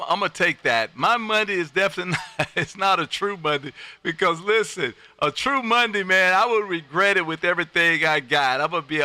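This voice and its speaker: Bright, forceful American man hosting ham radio. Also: a little high, no room sound. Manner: exuberant, loud personality, repeats phrases for emphasis. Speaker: amateur radio operator and livestream host. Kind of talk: lively on-air ham radio chat with showman patter. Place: home radio room.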